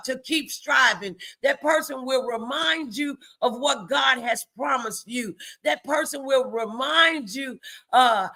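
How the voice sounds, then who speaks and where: A woman's voice: high voice, pushed and bright. A woman hosting a faith-based motivational livestream, speaking emphatically and repeating key phrases to exhort her listeners.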